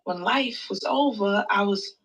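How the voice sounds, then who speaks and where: Audio play role for a woman: weary survivor of a storm at sea, mid-pitched, reflective folksy storyteller. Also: a little slow, fairly bright tone, poor audio. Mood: solemn, resigned. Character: weary storm-tossed survivor recounting near drowning at sea. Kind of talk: dramatic audio play monologue.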